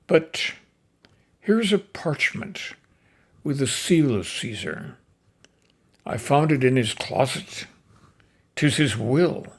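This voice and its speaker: A grieving, persuasive Roman orator, recited by a man in a slow, deliberate delivery. The voice is gravelly and swings widely in pitch, rising and falling in loudness as he declaims. It sounds like a dramatic stage recitation. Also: very animated, heavily acted. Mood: rousing. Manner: declamatory, with sudden swells and drops in loudness. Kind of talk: dramatic recitation of a classic play speech.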